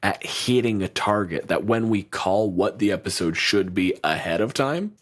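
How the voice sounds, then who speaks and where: A man co-hosting a podcast in casual chat, talking in an animated, rambling way with restarts and swearing freely. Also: fairly low pitch, normal speed. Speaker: podcast co-host.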